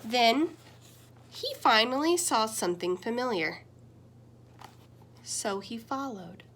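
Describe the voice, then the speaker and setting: A young adult woman reading a children's picture book aloud as a teacher, gentle and expressive, with big swings in pitch and loudness. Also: slow, slight background noise.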